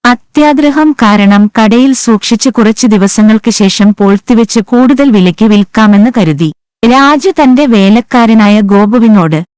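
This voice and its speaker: A woman's voice: fairly high pitch, measured pace, darkish tone, smooth, no room sound. A woman reading scripted moral stories for children as the narrator. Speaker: story narrator. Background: background music.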